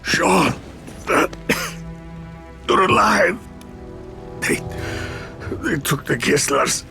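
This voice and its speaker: An older resistance fighter from a video game, fatherly and badly hurt. His voice is tense and full of fry. He gasps out his urgent words haltingly, with faint music behind. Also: sits high, big pitch swings, sharp tone, exaggerated voice. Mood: pained, urgent. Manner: halting, gasping delivery. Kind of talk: dramatic video game acting.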